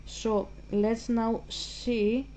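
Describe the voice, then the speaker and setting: A woman with a Greek accent, talking slowly to the camera with a slightly raised pitch. She often stops and restarts her sentences as she finds the English words. The delivery is loose and unscripted. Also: little pitch movement, dark tone. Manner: halting, restarts while translating in her head. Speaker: channel host. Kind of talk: casual on-camera talk. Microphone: camera mic.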